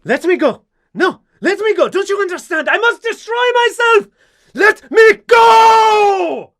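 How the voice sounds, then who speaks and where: An actor playing a tormented, paranoid gentleman losing his mind, in a theatrical radio suspense style with a husky voice. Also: very high-pitched, lively intonation, unhurried, dry recording, studio quality, heavily acted. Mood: desperate, unhinged. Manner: theatrical, brooding monologue swelling to frenzy. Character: tormented paranoid Frenchman gentleman descending into madness. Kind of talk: radio suspense drama acting.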